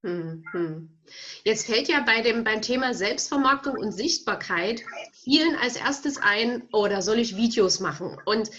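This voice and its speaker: A woman with a cold hosts an interview podcast, her voice a touch higher than most. She asks questions in a friendly, measured way. The recording sounds dull and lo-fi. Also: animated, a bit bright. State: has a cold. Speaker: podcast host.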